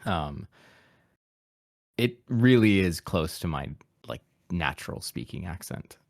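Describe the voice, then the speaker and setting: A man's voice: deep voice, expressive, slow pace, creaky, no room sound, clean studio sound. A man with a mid-Atlantic American accent, a podcast guest and voice actor from Appalachia, talking casually and reflectively in an interview, with easy self-deprecating laughs. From Appalachian region of the United States.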